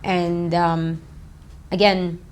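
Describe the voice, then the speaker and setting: A woman in her thirties, originally from Romania, tells her story in a somewhat low voice. She rambles loosely, filling pauses with "um" and "like" as she answers interview questions in an office. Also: flat delivery, a little hiss or hum. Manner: rambling, many fillers like "um" and "like". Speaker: startup founder and CEO.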